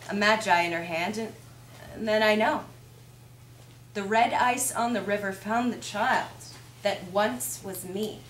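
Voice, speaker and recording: A woman giving a measured, reflective live reading of poetry. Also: mid-range voice, a bit bright. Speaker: poem reader. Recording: echoey room with faint noise.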